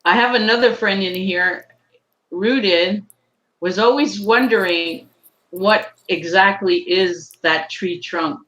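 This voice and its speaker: A woman hosting a live-stream interview, asking slow, halting questions over a video call. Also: mid-range voice, a bit bright, poor audio. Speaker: host of the channel.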